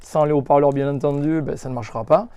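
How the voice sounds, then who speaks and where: A man with a fairly high, mellow voice walks through a practical demonstration. He speaks off the cuff like a shop presenter, explaining step by step and underlining key points. The sound is dry and close. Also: steady tone, fast talker, clean tone, no room sound. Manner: instructive, emphatic, repeats reminders of what matters. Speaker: motorcycle accessory shop presenter. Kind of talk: hands-on product tutorial, unscripted.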